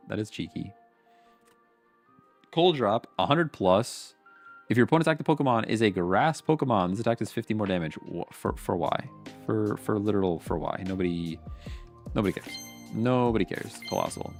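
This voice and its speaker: A man with a stuffy, congested voice streams his commentary, reading card text aloud and then giving his blunt, wry take on it. His voice sits a little low. Faint music plays in the background. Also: lively intonation, brisk, creaky. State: congested, sniffling. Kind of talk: casual pack-opening commentary.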